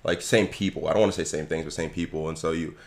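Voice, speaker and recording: A man co-hosting a casual podcast, relaxed and reflective, talking things through at length. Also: rumbling low, quick pace, vocal fry. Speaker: podcast co-host. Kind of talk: casual chat.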